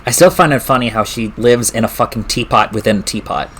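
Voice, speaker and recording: A man's voice: mid-range voice, fast talker, a bit bright. A man chatting casually and informally as he live streams a game, swearing mildly. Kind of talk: casual gaming stream chat. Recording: clearly noisy stream audio.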